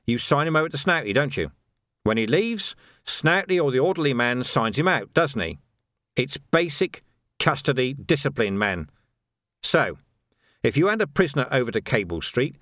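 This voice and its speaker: A narrator puts on a stern middle-aged watch commander who lays down the rules in a brisk, commanding way. His pitch swings widely as he presses each point. The reading is close and dry, with no room sound. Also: a bit bright, dry recording. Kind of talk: audiobook character voice.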